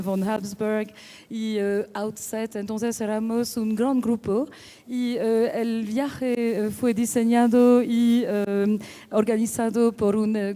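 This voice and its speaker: A woman's voice: mid-range voice, warm tone. A woman with a French accent speaking Spanish as a second language, presenting a book as its editor with frequent hesitations and restarts. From France. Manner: hesitant, many fillers and restarts.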